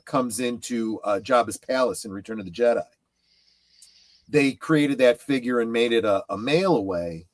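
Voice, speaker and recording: A middle-aged man chatting casually about collecting, explaining things in a halting way. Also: medium pitch, no echo.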